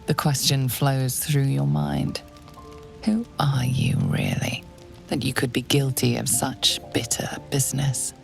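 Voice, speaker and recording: A woman playing the brooding narrator of a grim fantasy video game, speaking deliberately and ominously in literary phrases, with music behind her. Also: extremely low pitch, gravelly, heavily acted. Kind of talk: scripted dramatic second-person game narration.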